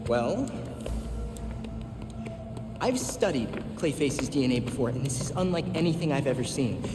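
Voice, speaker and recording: A man's voice: medium pitch, expressive, normal speed, music in the background, natural voice. A young American man playing a nerdy, earnest vigilante sidekick in a video game cutscene, hesitant and casual. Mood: earnest, puzzled. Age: young adult. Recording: echoey hall with loud score behind.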